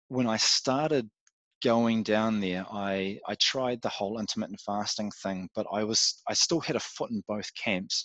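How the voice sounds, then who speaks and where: A New Zealand man with a slightly low voice recounts things in an unhurried, casual way. He thinks out loud, filling pauses with um and you know. The sound is dry and close, with no room echo. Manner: reflective, with frequent um and you know fillers. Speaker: podcast guest. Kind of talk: casual interview chat.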